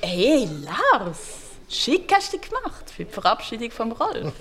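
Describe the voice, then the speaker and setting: An actress playing a chatty, familiar insurance receptionist with a Swiss accent in a radio play. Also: female voice, on the high side, huge pitch swings, unhurried, fairly bright tone, full character voice. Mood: chatty, teasing. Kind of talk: conversational radio-play acting.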